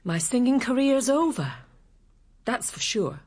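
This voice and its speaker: A woman's voice: a little high, sing-song, a little slow, a little mellow. An actress in a radio drama playing a frank, wounded woman who sings opera and is in love. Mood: bitter, resigned.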